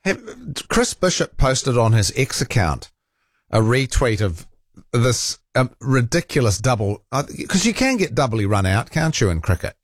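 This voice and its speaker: A New man hosts talkback radio from the studio, his pitch rising and falling in an animated way. He chats easily, stumbling over restarts. The sound is dry and close, at a medium pitch. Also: normal speed, dry recording. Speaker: radio talk show host. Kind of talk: casual talkback radio chat.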